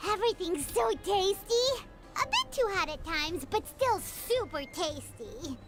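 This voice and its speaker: An actress voicing a tiny, excitable, childlike fairy companion in a video game, perky and cheeky. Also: female voice, very high-pitched, a little slow. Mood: delighted. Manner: perky, speaks of herself in third person. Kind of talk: scripted video game dialogue. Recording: music playing behind.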